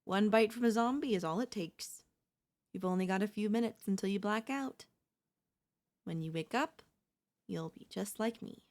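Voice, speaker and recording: A woman's voice: mid-range voice, normal speed, pauses often, darkish tone, lightly acted. A woman voicing a sly, chatty female zombie in a comedic audio roleplay, coaxing and reasoning in a casual, conversational way. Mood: smug.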